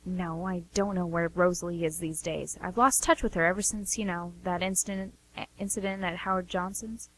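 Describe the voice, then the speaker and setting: A woman's voice: on the low side, steady tone, moderate pace, few pauses, poor audio. A woman playing an anxious, chatty sister who speaks in a hesitant, earnest ramble in a radio play. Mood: worried, secretive. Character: anxious, chatty sister defending her wayward brothers. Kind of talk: amateur radio play dialogue.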